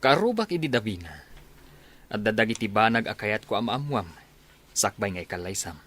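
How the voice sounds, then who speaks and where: Man as easygoing soldier, big-brother manner, lowish voice, radio drama dialogue. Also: sing-song, fairly quick, fairly bright tone. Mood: earnest, advising. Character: easygoing young soldier, teasing elder-brother type.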